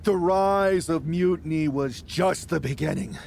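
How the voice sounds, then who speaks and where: An actor playing a villainous wrestler and rebel faction leader, mocking, power-hungry and theatrical, in a video game cutscene. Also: male voice, a little high, gravelly, a little mellow, slight background noise. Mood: defiant. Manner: theatrical, taunting, deliberate.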